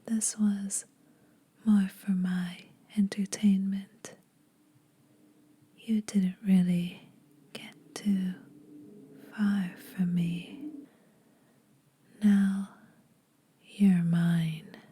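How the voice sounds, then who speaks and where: A woman playing a menacing, teasing vampire huntress in a soft, breathy ASMR whisper, slow, deliberate and condescending. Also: mid-range voice, flat delivery, full character voice. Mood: smug, possessive.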